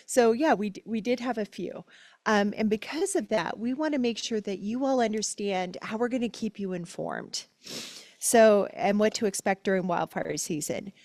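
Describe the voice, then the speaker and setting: A woman's voice: mid-range voice, darkish tone, no echo. A woman speaking as a company representative at a community meeting, explaining things in a conversational, informal way. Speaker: utility company representative presenting.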